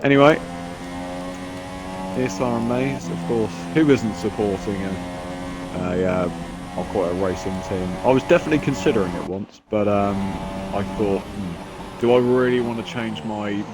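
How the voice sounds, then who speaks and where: Man, nasal with a cold, lowish voice, rambling race commentary, noisy background. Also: moderate pace. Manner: rambling, self-mocking, chatty. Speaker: sim racing commentator.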